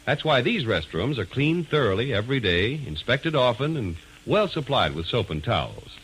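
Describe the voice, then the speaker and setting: A man's voice: mid-range voice, big pitch swings, normal speed, fairly bright tone, slight background noise, poor audio. An American man announcing and narrating in a polished, emphatic mid-century radio style. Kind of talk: 1940s-50s scripted radio announcing and narration. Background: music.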